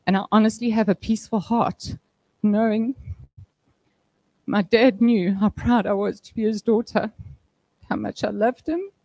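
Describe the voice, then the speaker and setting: A woman in her thirties giving a eulogy for her father at a memorial service, heartfelt with touches of gentle humor, speaking into a handheld mic in an indoor function room. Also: medium pitch, a little slow, pauses often, no echo, low-quality recording. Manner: heartfelt, with light humor and soft laughs. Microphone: handheld microphone.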